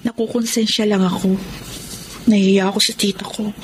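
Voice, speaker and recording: Young female student, secretive and guilt-ridden, played by a woman in radio drama, mid pitch, slight background noise. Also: little pitch movement, a little fast, lightly acted. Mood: guilty. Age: young adult. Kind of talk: Tagalog radio drama acting.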